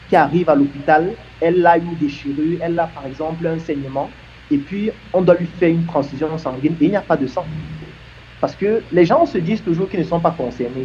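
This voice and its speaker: A man's voice: a little high, warm tone, slight background noise. Earnest man, muffled recording. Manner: earnest, emphatic, builds points with examples. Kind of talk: argumentative discussion.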